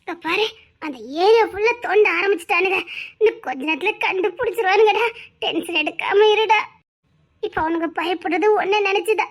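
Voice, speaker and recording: A woman's voice: extremely high pitch, fairly quick. An actress playing a family woman who firmly reassures and scolds a grieving man, telling him to stop crying. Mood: reassuring. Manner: scolding yet reassuring, calls him "daa". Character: firm, comforting woman of the family. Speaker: relative consoling a grieving man. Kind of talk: serial drama acting.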